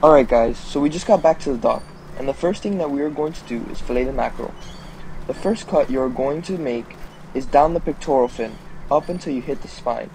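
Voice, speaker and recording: A young man narrating step-by-step food preparation instructions in a measured, explanatory way. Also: mid-range voice, darkish tone, slight background noise, low-quality recording. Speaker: fishing show host.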